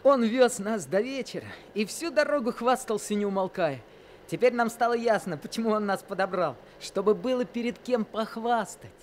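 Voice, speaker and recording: Give me an earnest first-person narrator in a radio play, lively in pitch. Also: male voice, moderate pace, slight background noise. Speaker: narrator and teenage older brother.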